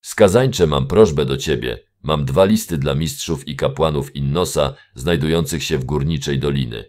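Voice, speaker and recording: A grave male fire mage for a fantasy audio drama. His voice is extremely deep and full of command. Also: moderate pace, darkish tone. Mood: grave, urgent.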